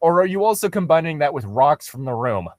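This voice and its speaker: A man's voice: a little high, very animated, brisk. A man casually reading a story aloud and picking it apart with sarcastic, sweary commentary as a co-host. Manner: sarcastic, nitpicking, swears freely. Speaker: co-host reading and mocking amateur fiction.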